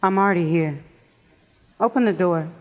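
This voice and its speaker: A woman's voice: lowish voice, moderate pace, close to an everyday voice. A woman playing a concerned, supportive young best friend in a stage play. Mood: concerned, sympathetic. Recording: muffled stage play recording.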